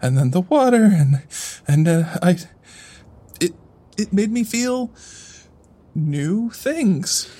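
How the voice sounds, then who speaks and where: A naive, guilt-ridden teenage boy in an audio drama. He stammers anxiously and apologetically in a medium-pitched young voice. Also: animated, slow, long pauses, mellow, slightly rough. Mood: nervous, halting.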